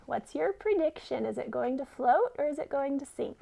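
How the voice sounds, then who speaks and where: A woman's voice: high-pitched, animated, normal speed, vocal fry, dark tone, slight background noise. A woman reading a children's story aloud expressively, as a teacher who puts questions to listeners.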